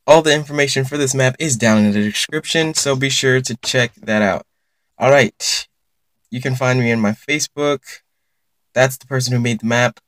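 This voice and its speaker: A man's voice: mid-range voice, moderate pace, a bit bright, dry recording. A young man giving casual gameplay commentary for his channel, chatting to viewers and reacting aloud as he plays. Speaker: gaming YouTuber.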